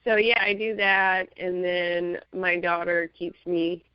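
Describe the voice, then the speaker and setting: A woman guest on a radio talk show, a magazine publisher, talking in a friendly, relaxed way. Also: fairly low pitch, takes it slowly, clean tone, no room sound. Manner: friendly, relaxed, light laughs. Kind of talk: casual interview chat. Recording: dull lo-fi sound, dry.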